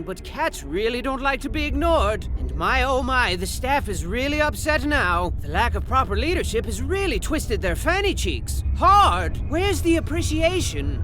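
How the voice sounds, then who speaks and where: A man's voice: very high-pitched, sing-song, measured pace. A man narrating a game in a theatrical comic style, full of sarcastic asides and silly sound effects. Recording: game audio with loud music.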